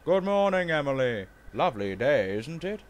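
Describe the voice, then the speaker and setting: A man's voice: a little high, big pitch swings, normal speed. A man voicing a confident, polite and cheerful express engine in a children's storybook, with an eager, courteous manner. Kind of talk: children's railway storybook character voice.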